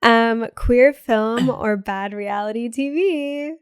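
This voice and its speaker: Young woman, podcast co-host, bubbly, slightly sick, smooth voice, dry close mic. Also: a little high, dry recording. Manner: bubbly, laughs often, quick asides. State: sick with a cold. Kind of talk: casual chat.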